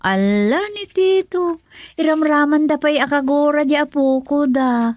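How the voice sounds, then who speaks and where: Radio drama matriarch, played by an actress: Filipino, outspoken, protective, fairly high voice, close dry recording. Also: female voice, a little slow, a little mellow, dry recording. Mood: pleading.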